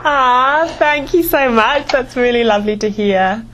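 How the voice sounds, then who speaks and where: A woman's voice: on the high side, unhurried, fairly bright tone, faint music behind, rough recording. A woman hosting an informal conference call with a gracious, friendly manner.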